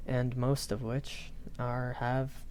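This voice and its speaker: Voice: medium pitch, monotone, takes it slowly, darkish tone, a little hiss or hum, natural voice. A performer voicing a shy, earnest young magic academy professor in a rambling audio roleplay, hesitant and often self-correcting. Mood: thoughtful. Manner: hesitant, self-correcting, apologetic, nervous chuckles. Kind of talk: improvised character audio roleplay, rambling lecture.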